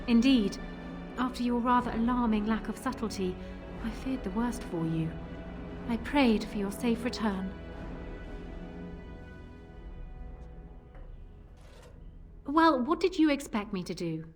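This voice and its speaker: A woman's voice: on the high side, lively intonation, moderate pace, over music, lightly acted. An actress playing a proud, shrewd young queen in a fantasy game, speaking formally and assertively. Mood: indignant.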